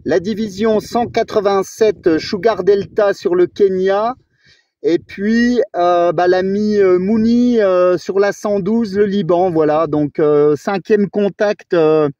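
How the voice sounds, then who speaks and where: A man's voice: high-pitched, mellow, no echo. A man talking casually as an amateur radio operator during a contact. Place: outdoors at a mountain spot. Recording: dull narrow amateur radio audio.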